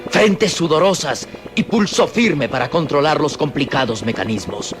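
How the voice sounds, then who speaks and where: A man's voice: a little high, expressive, normal speed. A man narrating a radio serial in a solemn, suspenseful, declamatory scripted style. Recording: radio serial with loud music.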